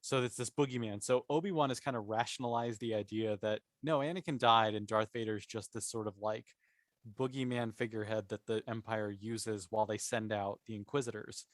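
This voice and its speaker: A man's voice: fairly low pitch, fairly even intonation, brisk, dry recording. Explanatory man in casual discussion, dry sound. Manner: thinks aloud, explanatory. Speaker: podcast panelist. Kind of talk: casual opinionated discussion of a TV series.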